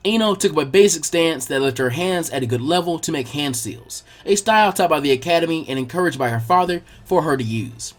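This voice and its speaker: A man's voice: on the high side, animated, a little fast, hardly any pauses. A man reading a scripted action story aloud as its narrator.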